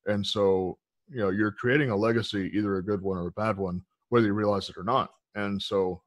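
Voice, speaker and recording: A man's voice: on the low side, steady tone, a little fast, dry recording. Rambling male interviewer, dry sound. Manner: rambling, many fillers, affirming. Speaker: podcast host, interviewer. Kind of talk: interview questions.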